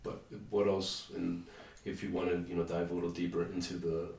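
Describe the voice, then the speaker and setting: A man with a very low voice puts hesitant questions to a guest, stumbling through fillers as he goes. He sounds distant from the mic in an echoey room. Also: little pitch movement, fairly quick, creaky, darkish tone. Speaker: interviewer. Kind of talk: casual interview conversation.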